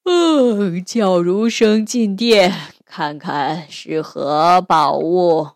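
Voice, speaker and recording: A woman's voice: fairly low pitch, drawn-out words, no echo, clean studio sound. A woman voicing a lazy, haughty emperor in a storybook tale, with languid, drawling commands. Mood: lazy, imperious. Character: lazy, haughty, pot-bellied emperor, quick to rage.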